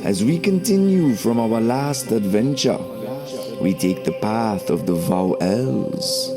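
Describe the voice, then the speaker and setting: A man telling a scripted story for children as a spiritual lesson, deliberate and preachy, stressing key words. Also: lowish voice, gravelly. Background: background music.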